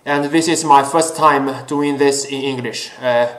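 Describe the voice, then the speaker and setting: Slow-talking man, Chinese his first language. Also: mid-range voice, fairly even intonation, fairly bright tone. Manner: earnest, deliberate, many pauses and fillers. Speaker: host. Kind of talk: casual solo talk show. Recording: echoey room.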